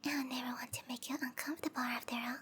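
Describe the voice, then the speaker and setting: A woman voicing a sweet, obsessive snake-girl lover in a breathy, cooing whisper for an intimate ASMR roleplay. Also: sits high, little pitch movement, quick pace, a bit bright, slight background noise. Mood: softly menacing. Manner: cooing, tender, possessive.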